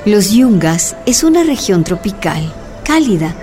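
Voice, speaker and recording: A woman's voice: mid-range voice, sing-song, measured pace. A woman reading scripted narration for a radio drama. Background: music.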